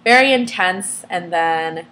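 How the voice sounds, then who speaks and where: A young woman whose first language is Vietnamese, talking casually to the camera with lots of pauses and fillers. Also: fairly low pitch, lively intonation, bright, forceful tone, poor audio. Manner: hesitant, many fillers and restarts. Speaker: vlogger.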